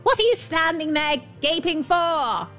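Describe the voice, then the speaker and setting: A woman's voice: animated, a little slow, a bit bright, soft background music, exaggerated voice. Woman voicing a screechy, ill-tempered little dwarf man. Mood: indignant, scolding. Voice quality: screeching, shrill. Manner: scolding, melodramatic exclamations. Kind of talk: fairy-tale storybook character voice.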